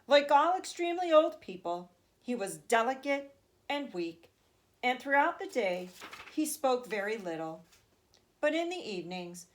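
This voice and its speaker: A woman narrating a children's storybook as a teacher would, with expressive, deliberate phrasing. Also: fairly high pitch. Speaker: teacher reading aloud to third graders.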